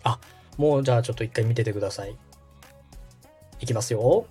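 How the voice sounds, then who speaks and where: A man's voice: mid-range voice, brisk, mellow, faint music behind. A man in his thirties from Osaka, a podcast host giving polite, encouraging step-by-step instruction in a conversational way. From Osaka, Japan. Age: 30s. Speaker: podcast host and radio director coaching vocal warm-ups.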